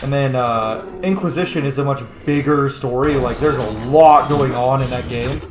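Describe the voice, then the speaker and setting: A man's voice: medium pitch, moderate pace. A man giving casual, rambling, opinionated gameplay commentary with some swearing. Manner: opinionated, profane. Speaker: gameplay host. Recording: echoey room, faint noise.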